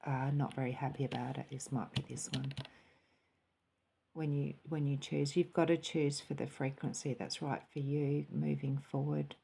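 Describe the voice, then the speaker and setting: A woman giving a spiritual tarot and cup reading in a soft, musing way, sometimes dropping into a quiet, calm voice. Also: extremely low pitch, moderate pace, vocal fry, slight background noise.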